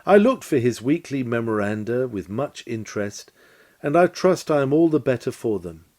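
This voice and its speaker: A man with a soft, mellow voice reads an old-fashioned sermon lecture from a script. His pitch moves widely as he stresses key words, and his phrasing is measured, formal and oratorical. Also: sing-song. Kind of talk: scripted reading of a 19th-century sermon lecture.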